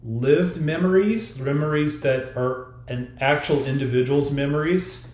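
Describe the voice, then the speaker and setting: A man speaking as an author in a podcast discussion, explaining haltingly with pauses to choose his words. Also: a little high, a little mellow. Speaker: author discussing own story. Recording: roomy, echoey sound.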